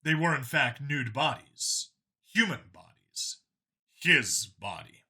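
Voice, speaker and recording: Casting: man for dramatic audiobook narration, expressive and varied pitch, bright, forceful tone. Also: takes it slowly, breathy edge. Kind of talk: dramatic scripted story narration.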